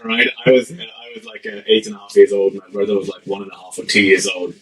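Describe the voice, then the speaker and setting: A man's voice: lowish voice, steady tone, quick pace, crowd noise, poor audio. A man with a British accent, born in India and raised in the UK, chatting as a podcast guest in an office, leaning on "you know" and "sort of". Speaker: podcast guest, venture fund founder. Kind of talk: casual interview conversation.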